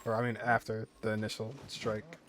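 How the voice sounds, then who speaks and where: A man's voice: lowish voice, fairly even intonation, normal speed, noisy background. A man giving casual playthrough commentary as a gaming streamer, with mild exclamations.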